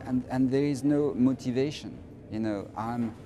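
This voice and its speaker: A middle-aged man from France with a French accent, answering interview questions in an emphatic, halting way and often repeating phrases. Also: medium pitch, background noise. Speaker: interviewee, finance professional.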